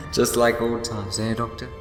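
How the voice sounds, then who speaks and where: An actor playing a gleefully smug, scheming time-travelling villain in a fan audio drama, theatrical, wheedling and gloating. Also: male voice, medium pitch, little pitch movement, a little slow, natural voice. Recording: echoey long reverb, music behind.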